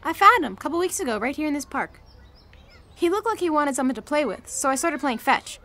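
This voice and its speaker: A woman's voice: high-pitched, big pitch swings, a little fast, bright, forceful tone, heavily acted. A woman voicing a plain-spoken young girl in an audio drama. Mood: matter-of-fact. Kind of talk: scripted audio drama acting.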